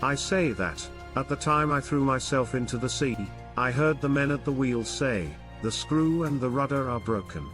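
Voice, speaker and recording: A man voicing a calm, loyal young manservant who speaks with formal, deferential politeness in an audiobook. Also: medium pitch, moderate pace, few pauses, faint music behind, close to an everyday voice.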